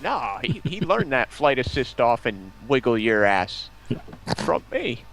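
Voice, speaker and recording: A man's voice: mid-range voice, very animated, a little slow, creaky, a bit bright, a little hiss or hum, poor audio. A man appearing as a guest on a livestream, talking in casual banter. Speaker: stream guest.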